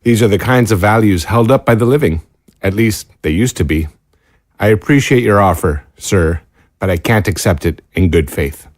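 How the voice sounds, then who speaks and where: A man's voice: fairly low pitch, normal speed, dry recording, clean studio sound, close to an everyday voice. A man in an audio drama playing a principled, defiant imprisoned doctor with a sardonic, educated, indignant manner. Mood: defiant, dignified. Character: principled, defiant male doctor held prisoner.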